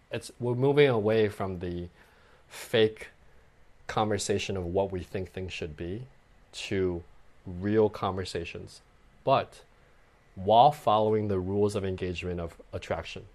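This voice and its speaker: A man with a fairly low voice explains dating advice in an easy, conversational flow. He keeps checking in with the listener and slips into short acted-out exchanges to show his points. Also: a little mellow. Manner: acts out sample lines, frequent okay and right. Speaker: dating coach guest.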